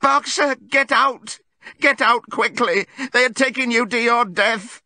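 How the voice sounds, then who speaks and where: A man's voice: extremely high pitch, a little slow, pushed and bright, no echo, pitched above the performer's own voice, exaggerated voice. A man voicing a desperate, devoted female farm horse in an audiobook, crying out in short calls. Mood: terrified.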